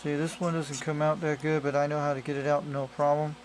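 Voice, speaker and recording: A man's voice: on the high side, level tone, fairly quick. A man giving a casual hands-on demonstration as a hobbyist, explaining in a rambling, repetitive way on a noisy amateur camera recording. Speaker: radio hobbyist presenter.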